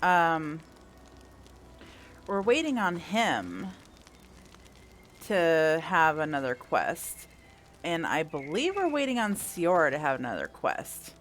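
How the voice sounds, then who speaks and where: A woman's voice: low-pitched, big pitch swings, takes it slowly, pauses often, a little hiss or hum. A woman giving casual playthrough commentary, thinking aloud in a wry, self-joking way. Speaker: gaming content creator. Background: faint game music.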